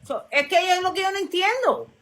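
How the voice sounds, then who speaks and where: A speaker with a very high, bright voice presses questions insistently. The tone is sharp and clear. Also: big pitch swings, a little fast. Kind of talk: casual religious debate.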